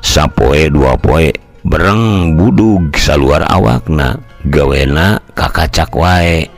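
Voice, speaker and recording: A man's voice: very deep voice, expressive, takes it slowly, gravelly, soft background music. An older man with a West Javan Sundanese accent, telling a folk tale in a colloquial, conversational way. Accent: Sundanese-speaking West Java. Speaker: storyteller. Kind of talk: traditional folk tale narration with acted dialogue.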